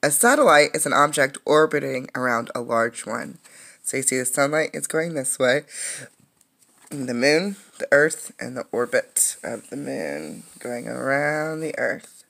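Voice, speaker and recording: Woman reading aloud with a creaky voice. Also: very deep voice, animated, a bit bright. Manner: explanatory, pausing to comment and ask questions. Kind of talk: interactive read-aloud of a children's science book.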